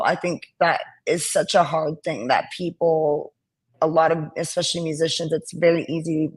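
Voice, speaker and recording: A young adult woman with a raspy voice, a musician guest talking earnestly and rambling in a casual interview over a laptop call. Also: deep voice. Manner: earnest, rambling with many fillers. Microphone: laptop on a video call.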